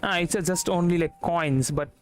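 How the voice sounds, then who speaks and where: A man's voice: on the high side, moderate pace. A man giving casual game stream commentary, musing aloud and repeating himself hesitantly. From India. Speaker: livestreamer. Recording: game music behind the voice. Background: game music.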